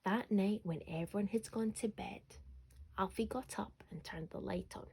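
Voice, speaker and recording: A woman storyteller narrating a children's bedtime story expressively, sometimes dropping to a hush. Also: medium pitch, normal speed, creaky, slight background noise.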